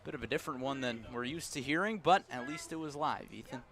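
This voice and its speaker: A man's voice: medium pitch, fast talker, fairly bright tone. A man with an American accent calling a baseball game live on radio from an outdoor ballpark, mixing lineup announcements with casual banter.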